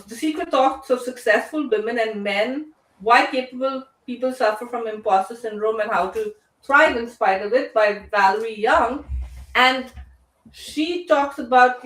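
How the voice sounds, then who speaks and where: A woman with a fairly high voice hosts a casual live discussion. She talks conversationally and haltingly, doubling back on her phrases. The room is very echoey and she sounds a little away from the microphone. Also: fairly bright tone, recorded from a distance. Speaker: host. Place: indoor room on live online video call.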